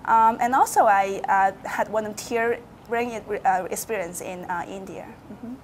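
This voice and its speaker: A woman's voice: mid-range voice, unhurried, slight background noise, low-quality recording. A woman in her twenties from Guangzhou with a Chinese accent, interviewing casually as a student and searching a little for words. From Guangzhou, China. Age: 20s.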